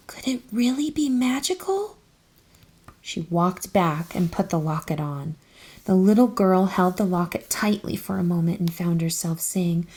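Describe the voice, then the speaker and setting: A woman narrating a children's storybook aloud in an expressive, careful storytelling manner. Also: on the low side, breathy edge.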